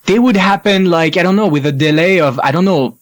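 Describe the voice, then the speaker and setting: Excitable French guy, slightly high voice. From France. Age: young adult. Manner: excitable, quick, laughs often. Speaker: podcast guest. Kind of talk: casual chat.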